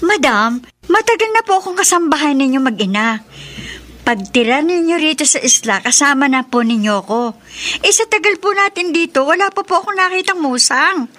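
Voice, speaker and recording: High-pitched timid housemaid. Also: female voice, expressive, moderate pace, sharp tone. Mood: puzzled, concerned. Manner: hesitant, stammering, respectful. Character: timid, deferential female housemaid. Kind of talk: radio drama acting. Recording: noisy background ambience.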